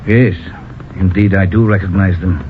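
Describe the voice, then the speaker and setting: An actor playing a proud, stern village leader with a formal, authoritative delivery in old radio drama style. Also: male voice, deep voice, fairly even intonation, normal speed, lightly acted. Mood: courteous, formal. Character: proud, stern, brave village leader, rugged younger man. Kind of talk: 1950s radio drama acting. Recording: muffled old radio broadcast, faint hiss.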